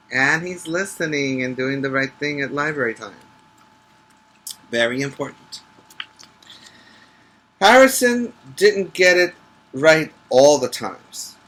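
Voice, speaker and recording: Male teacher, children's read-aloud, unhurried slow pace, fairly high bright voice, instructive, questioning asides. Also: husky, low-quality recording. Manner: instructive, checks in with short questions to young listeners. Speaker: teacher reading aloud to preschoolers.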